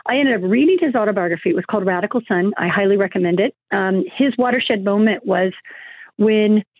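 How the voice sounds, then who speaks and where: A woman's voice: medium pitch, a little fast, no room sound. A middle-aged woman talking as an interview guest, rambling with many fillers and restarts. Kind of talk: conversational interview. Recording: tinny phone line.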